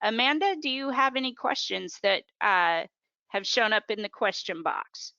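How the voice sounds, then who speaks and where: A woman's voice: medium pitch, animated, moderate pace, gravelly, pushed and bright, clear, resonant tone, no room sound. A woman hosting a webinar, polite and gracious as she invites final questions. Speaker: webinar host. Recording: dry, dull lo-fi sound.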